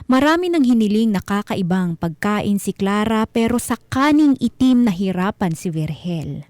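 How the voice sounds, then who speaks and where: A woman with a Filipino accent narrating scripted horror stories as a radio host, in a deliberate, dramatic manner. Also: medium pitch, mellow, no room sound, clean studio sound.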